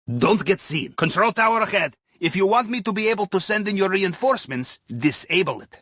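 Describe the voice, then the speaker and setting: An actor playing a genial, wry Russian ex-navy submarine captain who guides a heist in a video game, with a Russian accent and a conspiratorial manner. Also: male voice, sits high, pushed and bright, slightly rough, dry recording, studio quality, exaggerated voice. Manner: wry, conspiratorial, tags statements with questions. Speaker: mission handler. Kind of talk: video game mission dialogue over comms.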